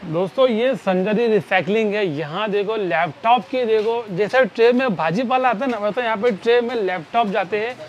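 A man from India hosting a vlog tour, chatty and curious, reacting with quick questions as he walks. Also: sits high, dull audio, soft background music. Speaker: vlog host touring the warehouse. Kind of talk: casual vlog chat.

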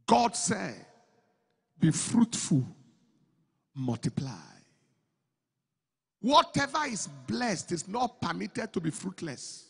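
Emphatic high-voiced pastor preaching. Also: male voice. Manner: builds from slow, measured delivery to loud, emphatic preaching. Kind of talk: sermon. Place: large church auditorium stage with a crowd. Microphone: handheld microphone. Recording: long hall reverb, slightly breathy.

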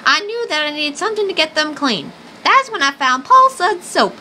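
A wholesome farm mom played by a woman in an old radio advert parody. Her voice is extremely high and bright, sugary and earnest, with faint noise behind. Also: sing-song, moderate pace, full character voice. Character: wholesome farm mother of seven giving testimonial.

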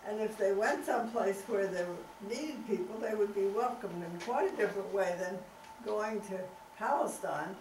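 A woman's voice: mid-range voice, normal speed, a little mellow, echoey room, slight background noise. An older woman speaking frankly, joining a lecture from a table in a meeting room, recorded by a far camera. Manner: frank, interjecting. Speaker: guest speaker. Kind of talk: conversational remarks.

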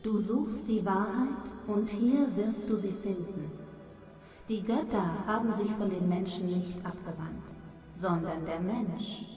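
A woman's voice: medium pitch, takes it slowly, mellow, close to an everyday voice. An actress playing the serene, consoling spirit of a dead mother in a fantasy audio drama. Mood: solemn, exalted. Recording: echoing hall, distant, faint music.

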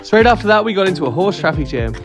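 A man narrates his travel video in a voice-over, talking quickly with a slightly high voice. His tone is upbeat and wry. Music plays clearly behind him. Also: very animated. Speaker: travel vlogger.

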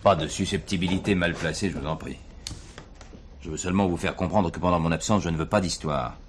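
A deep-voiced veteran commissioner played by a man, middle-aged and authoritative, speaks quickly with indignant loyalty to his men. It is French radio drama with faint noise on the old recording. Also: natural voice. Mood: brisk, paternal. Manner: brisk, authoritative.

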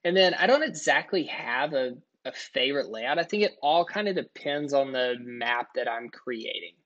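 A man talks through an on-screen tutorial in a relaxed, conversational way, one step at a time. His voice sits at a middle pitch. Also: normal speed, muffled recording. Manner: casual, step-by-step explaining. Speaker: product tutorial presenter. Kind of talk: screen-recording tutorial voice-over.

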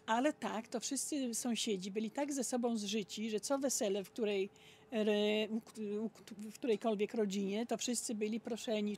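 A woman casually sharing memories in a group chat, halting and repeating herself as she goes. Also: mid-range voice, a little mellow, a little hiss or hum. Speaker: local resident sharing memories.

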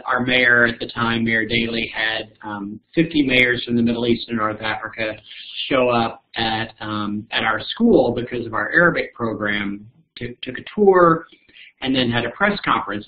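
Man telling school stories, muffled. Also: mid-range voice. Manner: animated when telling anecdotes, hesitant fillers. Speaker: panel guest, educator. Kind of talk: storytelling panel talk. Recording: dull lo-fi call audio.

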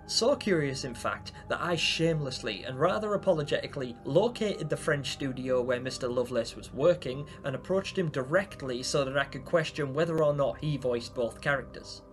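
A young man hosting a video, giving theatrical, wordy commentary to viewers about game theories. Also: medium pitch. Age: young adult. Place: small home room, indoors. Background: background music.

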